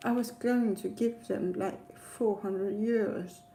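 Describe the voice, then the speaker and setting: A woman with a Danish accent, a native Danish speaker, telling a personal travel story casually in a hesitant, rambling way with frequent pauses. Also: medium pitch, fairly even intonation, mellow, slight background noise, low-quality recording. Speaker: travel vlogger.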